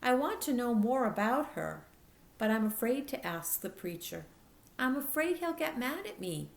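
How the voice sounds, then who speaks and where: A woman's voice: fairly high pitch, sing-song, normal speed, creaky, reverberant, pitched above the performer's own voice, rough recording. A woman voicing an earnest, lonely ten-year-old girl who confides and pleads emphatically in a storybook. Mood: wistful.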